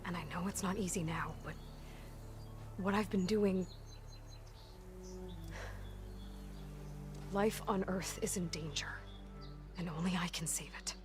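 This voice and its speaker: A woman's voice: fairly low pitch, moderate pace, music in the background, natural voice. A woman acting a determined, guarded young warrior in a video game cutscene, earnest, blunt and restrained. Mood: earnest, apologetic. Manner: earnest, restrained, often hushed.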